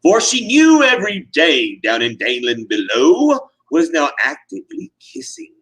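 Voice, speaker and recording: A man's voice: fairly high pitch, huge pitch swings, moderate pace, a bit bright, poor audio. A man narrating rhyming comic verse live, in a theatrical, emphatic, sing-song manner. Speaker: performer, narrator.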